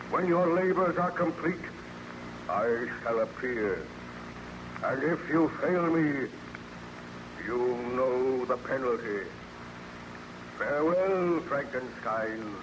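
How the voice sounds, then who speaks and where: A man's voice: medium pitch, natural voice. An actor playing a hideous man-made monster, pleading and vengeful, in a solemn, declamatory old-time radio horror style. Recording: noisy vintage radio recording.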